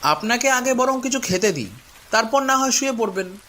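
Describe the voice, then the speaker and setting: An actor voicing a bossy but kind-hearted village man in a cartoon, brisk and expressive. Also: very high voice. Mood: hospitable. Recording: faint rain noise behind voice.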